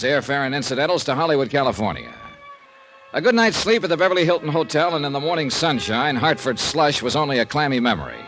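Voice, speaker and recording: A man playing a brisk, wry insurance investigator in 1950s radio detective style, with crisp, punchy delivery. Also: a little high. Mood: brisk narrating. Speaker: radio drama lead and narrator. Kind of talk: 1950s radio detective acting, first-person narration. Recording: old radio recording with music.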